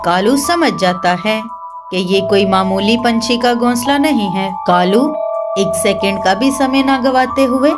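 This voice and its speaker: A woman reading scripted narration for a children's cartoon story as the storyteller. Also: mid-range voice. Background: music.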